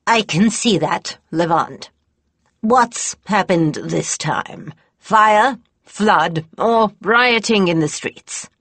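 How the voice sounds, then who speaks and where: A woman's voice: mid-range voice, animated, drawn-out words, fairly bright tone, no echo, full character voice. A woman doing a weary, wry male academy dean. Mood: exasperated. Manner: dry, exasperated, formal.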